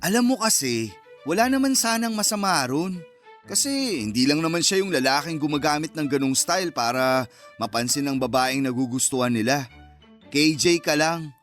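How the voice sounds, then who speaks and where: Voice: medium pitch, expressive, moderate pace, a bit bright, natural voice. A performer playing a playful, dramatic young best friend, teasing and mocking in chatty radio-drama banter. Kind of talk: Filipino radio-style romance drama acting. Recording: music behind the voice.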